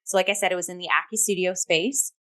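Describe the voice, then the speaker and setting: A woman co-hosting a theatre talk, speaking casually and informally in a halting, loosely built conversational flow. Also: lowish voice, fairly even intonation, pushed and bright. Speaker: talk show co-host and theatre reviewer.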